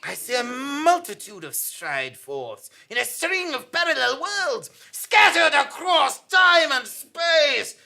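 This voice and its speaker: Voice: sits high, big pitch swings, slow, vocal fry, hardly any pauses, bright, forceful tone, full character voice. A performer playing a bombastic, paranoid male investigative journalist in a melodramatic, declamatory stage audio drama. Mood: defiant, grandiose. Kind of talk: theatrical live audio comedy drama.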